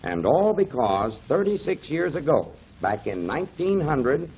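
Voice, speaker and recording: An actor playing a kindly, avuncular mentor explaining things to children in emphatic 1930s radio style, on a muffled old recording. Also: male voice, a little high, big pitch swings, a little slow, a little mellow. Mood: enthusiastic explaining. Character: kindly grown man, storytelling mentor to children, pipe smoker.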